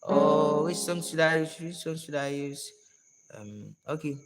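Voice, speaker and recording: Hoarse high-voiced man teaching slowly. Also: animated. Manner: unhurried, repeats phrases, gently advising. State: hoarse, voice worn out. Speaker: music teacher for singers. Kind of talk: casual spoken tutorial. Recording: long reverb with music playing behind.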